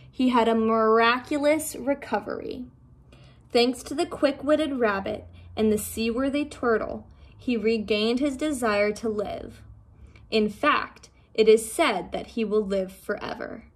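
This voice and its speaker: A woman with a fairly high voice reads a storybook aloud. She works as a storyteller, narrating the tale line by line. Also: a little slow.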